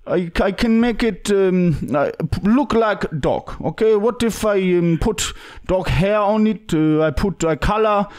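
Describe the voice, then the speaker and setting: A man's voice: sits high, clean tone. A young man voicing a pushy foreign man with broken English on a prank call, full of hesitant fillers. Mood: scheming. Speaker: prank caller. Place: small studio room indoors. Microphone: podcast mic on boom arm.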